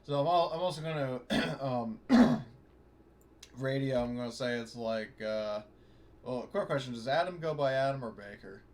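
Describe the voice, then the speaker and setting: A man's voice: medium pitch, normal speed, darkish tone. A man playing an online tabletop role-playing game, talking casually and hesitantly, often rambling and correcting himself. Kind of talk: casual in-character and out-of-character game talk. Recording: clearly noisy online voice chat.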